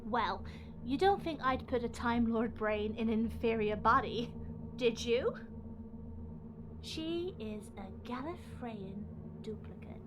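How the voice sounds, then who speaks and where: Woman acting a gloating evil scientist lady, highish voice, takes her sweet time, smug and cold, noisy audio drama track. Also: takes it slowly.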